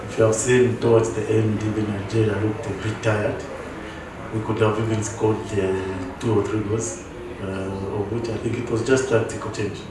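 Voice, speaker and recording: Low flat-voiced guy, football coach at a presser, hesitant, lots of echo. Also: noisy background. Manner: measured, hesitant, many "uh" fillers. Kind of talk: post-match press conference. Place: large echoey press room. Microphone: headset mic. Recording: echoey room, distant sound.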